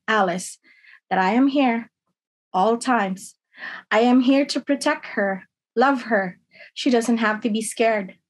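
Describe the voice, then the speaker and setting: Earnest middle-aged woman, slightly high voice. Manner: earnest, measured, slightly halting delivery. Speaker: union member. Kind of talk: personal testimony read aloud. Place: dim room at home. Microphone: webcam on a video call.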